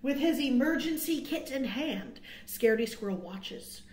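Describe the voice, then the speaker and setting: High-voiced, animated woman reading a picture book. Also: unhurried, slight background noise. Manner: expressive, dramatic, emphatic storytelling. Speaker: children's librarian, storytime host. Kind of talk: picture-book read-aloud for young children with asides. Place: small indoor room. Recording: roomy, echoing indoor sound.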